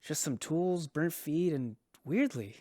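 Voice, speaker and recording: An actor playing a worried father and farmer in a sci-fi radio drama, talking in a halting, conversational way. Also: on the high side, expressive, mellow, no echo, clean studio sound. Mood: concerned, gentle.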